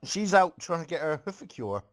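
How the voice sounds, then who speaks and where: A man's voice: on the high side, very animated, brisk, noisy background. A man reading a story aloud as an amateur reader for a comedy group, with casual asides.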